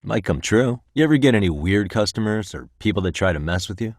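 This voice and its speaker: Laid back american detective from a video game, deep voice, wry and casual, really dry studio sound. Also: male voice, animated, a little fast, no room sound. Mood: friendly, wry. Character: easygoing, wry adult male private detective. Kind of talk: video game voice acting, conversational dialogue.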